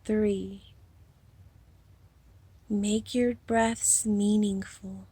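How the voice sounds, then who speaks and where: A woman leading a guided hypnosis meditation in a soothing, unhurried manner, with a breathy, soft-edged voice and long pauses between phrases. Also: mid-range voice, little pitch movement, dry recording.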